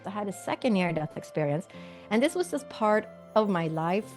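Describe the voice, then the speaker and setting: A woman's voice: medium pitch, sing-song, moderate pace, soft background music. A middle-aged woman from Sweden, a physician and medium, telling her story earnestly in an interview with light laughs.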